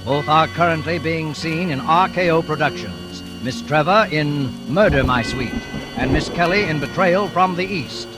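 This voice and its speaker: A man's voice: fairly high pitch, normal speed. A man hosting a 1940s radio drama, reading scripted introductions in an ominous, formal, theatrical way. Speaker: radio drama announcer and host. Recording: vintage radio recording with music behind.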